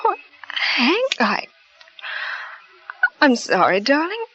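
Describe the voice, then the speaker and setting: A woman's voice: high-pitched, huge pitch swings, drawn-out words, fairly bright tone, exaggerated voice. An actress playing an anxious, devoted young wife in a 1940s radio drama, speaking tenderly and pleading with her husband. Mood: frightened. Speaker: narrator and wife. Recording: dull old radio broadcast with faint music.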